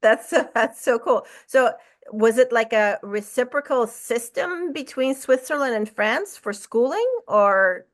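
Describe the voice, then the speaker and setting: A woman's voice: fairly high pitch, lively intonation, measured pace. A middle-aged woman chatting casually as an interviewer, reacting readily to what her guest says. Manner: reactive, frequent interjections and chuckles. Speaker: interviewer, fellow artist.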